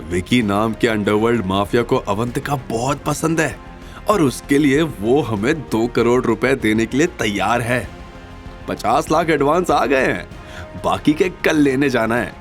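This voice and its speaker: A man voicing a scheming, greedy middle-aged mother in a coaxing, sly manner. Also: medium pitch. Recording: music bed behind.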